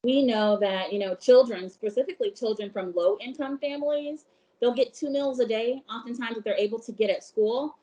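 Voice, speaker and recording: A woman from Brooklyn who works for a food bank, speaking from home in a measured, articulate way with occasional fillers. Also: fairly high pitch, dark tone, rough recording. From Brooklyn, New York. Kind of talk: interview answers.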